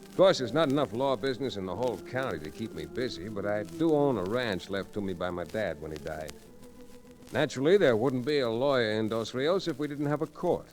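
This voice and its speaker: A man's voice: mid-range voice, expressive, brisk, a little mellow, lightly acted. A man playing an easygoing young frontier lawyer, folksy and wry, in an old radio western. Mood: wry, genial. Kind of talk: 1940s radio western acting, folksy narration. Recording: vintage radio recording with music.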